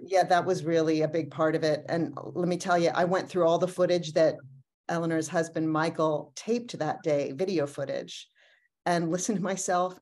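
A woman, a cast member chatting casually in a talkback on a video call. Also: lowish voice. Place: indoors at home, on a video call.

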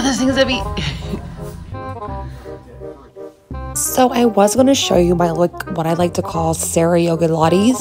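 A woman's voice: lowish voice, creaky. A woman chatting casually to her phone camera in a travel vlog, playful and crude, with frequent jokes and laughter. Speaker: travel vlogger.

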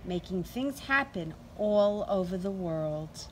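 A middle-aged woman doing an expressive, deliberate read-aloud storytime for young children. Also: mid-range voice. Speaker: teacher reading to children. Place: outdoors in a sunny backyard. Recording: outdoor ambient noise.

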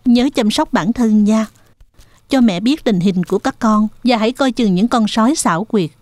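A woman's voice: fairly high pitch, normal speed, a little mellow, dry recording, soft background music, close to an everyday voice. A woman voicing a caring, protective mother pig in a children's cartoon. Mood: concerned.

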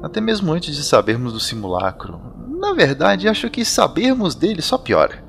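An actor playing an earnest young man who trains in magic, speaking gently and thoughtfully in an audio drama. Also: fairly high pitch, big pitch swings, normal speed, creaky, soft background music, heavily acted.

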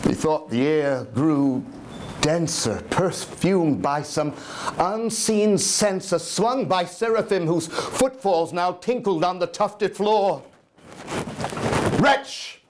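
A man in his sixties giving a theatrical poetry recitation, deliberate and full of dramatic pauses. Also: high voice, darkish tone. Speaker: dramatic reader. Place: dim candlelit room in an old house, live before a small audience. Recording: noisy live room recording.

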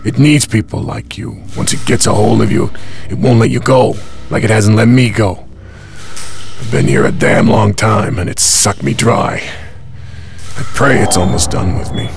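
A man's voice: on the low side, moderate pace, creaky, over music. A male actor playing the bitter, worn-out ghost of a long-held prisoner in a horror game, ominous and weary. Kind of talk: dramatic horror game dialogue.

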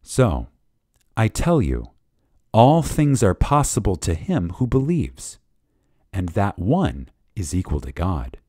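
Male lecture reader, low mellow voice, lively intonation, reverent, deliberate pauses, emphatic. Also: no room sound, studio quality. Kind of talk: dramatic reading of a spiritual lecture.

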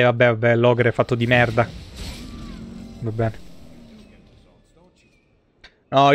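A man's voice: medium pitch, clear, resonant tone, dry recording. A man, giving casual, slangy live gameplay commentary as a streamer. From Italy. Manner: colloquial, slangy. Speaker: game streamer. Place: indoor streaming room.

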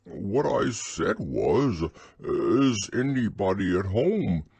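A man voicing a slow, simple bear who loves food, speaking in a drawn-out, pondering way. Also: on the low side, sing-song, vocal fry, a little mellow, slightly rough, dry recording, pitched above the performer's own voice, exaggerated voice. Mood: puzzled.

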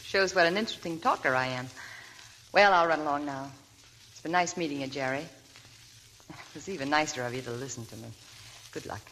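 Una joven cansada que se despide. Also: female voice, low voice, brisk, long pauses, fairly bright tone. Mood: wistful. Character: weary young woman saying farewell. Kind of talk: 1940s radio acting. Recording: old noisy radio broadcast.

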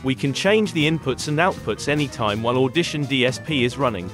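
A man reading a scripted software tutorial in a measured, instructional way. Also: medium pitch, animated, over music. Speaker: tutorial narrator.

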